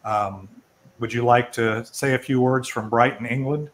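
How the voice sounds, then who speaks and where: A man's voice: mid-range voice, steady tone, moderate pace, slight background noise. An older man hosting an online networking event, talking informally and rambling a little as he presents and takes questions. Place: online video call.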